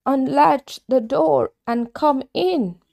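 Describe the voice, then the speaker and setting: Woman reader as an old granny: frail, tired, slow storybook voice. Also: high voice, gravelly, dark tone, voice pushed up, heavily acted. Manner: slow, weary speech. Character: frail old grandmother in bed.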